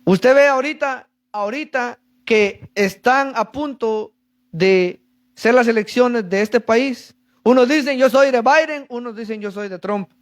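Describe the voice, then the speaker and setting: A man's voice: very high voice, measured pace. A man preaching a sermon to a congregation, reading verses and explaining them, calling for answers. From Central America. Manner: reads scripture then explains, asks congregation for answers.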